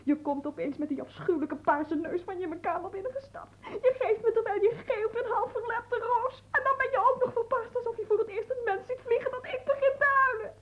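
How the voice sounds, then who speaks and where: A woman's voice: very high voice, a little fast, hardly any pauses, slight background noise, low-quality recording. A woman playing a capricious, oversensitive young noblewoman in a comic radio play, theatrical and haughty in manner. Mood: tearful, wounded. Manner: theatrical, haughty, swooping between teasing and wailing.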